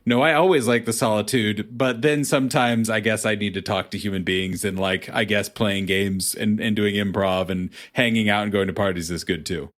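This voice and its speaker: A man's voice: lowish voice, steady tone, moderate pace, few pauses, a bit bright. A man interviewing conversationally as a podcast host, framing reflective questions with casual examples.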